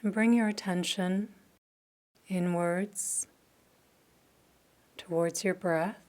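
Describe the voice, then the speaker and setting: Slow soft-spoken woman guiding meditation. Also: mid-range voice. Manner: slow, soft, calm with long pauses. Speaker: meditation teacher. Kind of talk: guided meditation.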